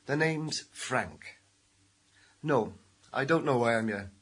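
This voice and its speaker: Casting: a street-hardened middle-aged robber fresh out of prison, raspy, mid-pitched, surly, for radio crime drama. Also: male voice, animated, slow pace, a bit bright, full character voice. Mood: sardonic. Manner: surly, slangy, defensive. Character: defiant, cagey male ex-convict, street-hardened armed robber.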